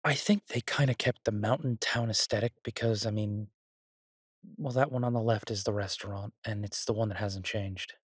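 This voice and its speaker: A man playing an earnest, easygoing chef in a roleplay audio drama, talking haltingly and reassuringly to a partner. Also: lowish voice, fairly even intonation, breathy edge, no room sound, studio quality. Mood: casual, explaining. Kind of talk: roleplay audio drama, conversational monologue to listener. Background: ambient sound effects.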